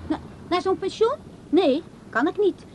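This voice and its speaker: A woman's voice: extremely high pitch, lively intonation, measured pace, a little mellow, a little hiss or hum. A woman acting in a radio play as a blunt, bored young woman, curt and offhand with her older lover. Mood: dismissive. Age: young adult. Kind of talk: naturalistic radio play dialogue.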